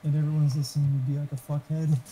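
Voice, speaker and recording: A man's voice: a little high, level tone, quick pace, warm tone, husky, rough recording. A man chatting casually in a laid-back way. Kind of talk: casual chat.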